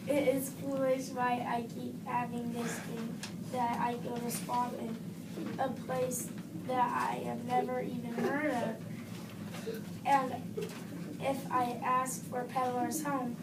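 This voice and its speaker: A woman's voice: a little high, flat delivery, slow. A woman playing an inquisitive, mocking male shopkeeper in a folktale readers theater, reading word by word. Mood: amused, scoffing. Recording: noisy, echoey room from far off.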